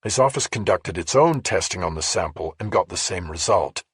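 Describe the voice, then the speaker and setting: A man voicing a measured, matter-of-fact female investigative journalist in an audiobook, explaining things carefully. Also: deep voice, animated, husky, dry recording, full character voice. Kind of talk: audiobook character dialogue.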